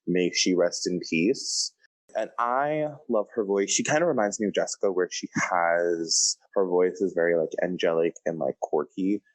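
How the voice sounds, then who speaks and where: Male podcast co-host, deep pitch, casual conversational delivery. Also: moderate pace, low-quality recording.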